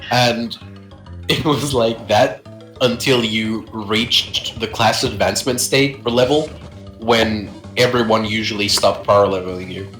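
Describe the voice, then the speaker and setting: A man's voice: fairly low pitch, a little slow, a bit bright, roomy sound, soft background music. A young man chatting casually about old online games, rambling, swearing and laughing often. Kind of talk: casual gaming chat.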